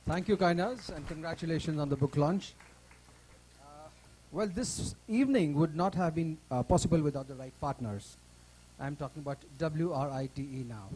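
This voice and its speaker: A man's voice: a little high, normal speed, a little mellow, husky, low-quality recording. Upbeat male event emcee. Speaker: event host.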